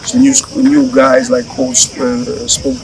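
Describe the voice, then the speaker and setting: A man's voice: medium pitch, monotone, measured pace, a little mellow, a faint crowd, a little hiss or hum, rough recording. A middle-aged man from Greece with a Greek accent, a rapper talking casually and slangily in an interview. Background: music.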